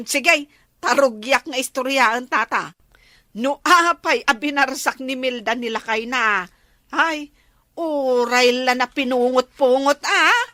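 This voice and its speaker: A woman's voice: sits high, slow, pushed and bright, dry recording, studio quality, full character voice. A woman playing a gossipy, exclamatory youngster in a comic radio drama. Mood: amused gossip.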